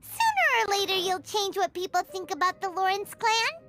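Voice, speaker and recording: A tiny fairy companion girl with an extremely high, squeaky voice. She is bubbly and chatty, swinging wildly up and down in animated game style, with music behind her. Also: normal speed. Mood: cheerful, encouraging. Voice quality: squeaky, childlike. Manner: bubbly, talkative, refers to self in third person. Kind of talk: animated video game voice acting.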